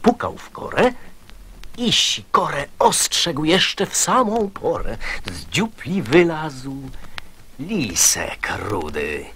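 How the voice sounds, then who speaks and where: A man narrates children's poetry with great swings of intonation. His delivery is slow and theatrical, over faint hiss on an old radio recording. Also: fairly high pitch, wildly swooping pitch, pushed and bright. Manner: theatrical, storytelling. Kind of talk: dramatised poem narration.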